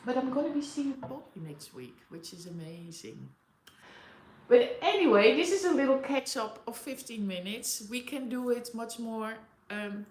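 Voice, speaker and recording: A woman's voice: a little high, a little mellow, roomy sound, slight background noise, poor audio. A woman from the Netherlands with a Dutch accent, chatting casually with viewers on a livestream and laughing often. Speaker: livestream host. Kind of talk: casual live chat with viewers. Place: home in Auckland.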